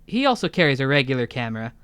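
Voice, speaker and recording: A man speaking as an interview guest, an audio drama creator with deadpan joking. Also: mid-range voice, rapid-fire, smooth, no room sound. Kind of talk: interview conversation.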